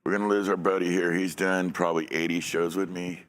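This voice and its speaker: A middle-aged man hosting a podcast from a dark studio set, talking on a studio mic in a rambling, profane way and laughing often. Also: sits low, flat delivery, fairly quick. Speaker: podcast host. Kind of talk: casual chat. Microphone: studio mic on a stand.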